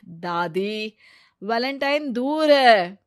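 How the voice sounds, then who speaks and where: A woman voicing a reluctant, mild-mannered young grandson who protests gently in a family story. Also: fairly high pitch, lively intonation, extremely slow, clear, resonant tone.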